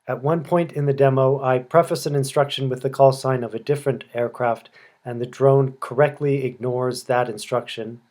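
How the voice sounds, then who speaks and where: A man narrates a scripted demonstration in a measured, instructional manner. His voice sits at a middle pitch, and he also delivers formal controller-style radio phrases. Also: fairly even intonation, darkish tone. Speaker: presenter acting as air traffic controller.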